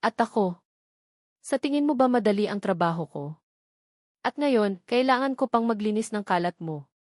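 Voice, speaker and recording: An embittered, proud young policewoman, played by a woman narrator in a romantic audio drama. She is confrontational and dramatic, with a medium-pitched voice recorded dry. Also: expressive, moderate pace, darkish tone, no room sound, close to an everyday voice. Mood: annoyed.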